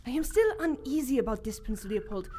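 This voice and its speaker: Woman acting as brave young Indian adventuress, high voice, formal dramatic delivery, radio play, some background noise. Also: sing-song, a little fast, dark tone. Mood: wary, intent. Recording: live stage recording, some background noise.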